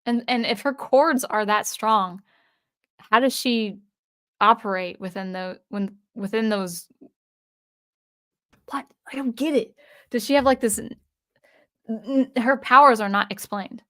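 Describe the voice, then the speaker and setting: A woman's voice: medium pitch, measured pace, pauses often, fairly bright tone. A woman from the US Northwest hosting a casual livestream, reading viewer comments aloud and answering them in a chatty way with frequent restarts. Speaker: livestream host.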